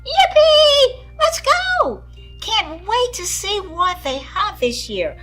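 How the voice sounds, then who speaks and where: Excited young schoolchildren played by a woman clamor in a storybook scene. The voice is high, sharp and very animated, exclaiming and pestering over faint music. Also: takes it slowly, exaggerated voice. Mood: thrilled.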